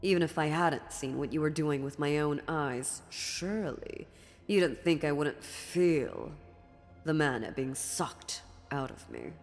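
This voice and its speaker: A woman's voice: sits low, sing-song, full character voice. A woman playing an ancient, dominant demon in a fantasy audio roleplay, mocking and menacing, with a condescending, theatrical delivery. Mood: cold menace. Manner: slow, condescending, sardonic, theatrical. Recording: long reverberant hall, music underneath.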